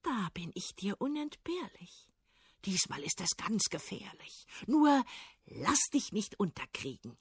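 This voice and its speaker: A woman voicing a small, loyal, wise humpbacked horse in a hushed, confiding way. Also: medium pitch, very animated, a little slow, breathy edge, no echo, full character voice. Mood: urgent.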